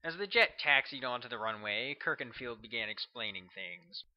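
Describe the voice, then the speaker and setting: A man's voice: medium pitch, animated, normal speed. A man narrates a thriller audiobook in a mid-range voice. He reads scripted prose as the author of the book. The recording is dull and lo-fi. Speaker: author reading own audiobook.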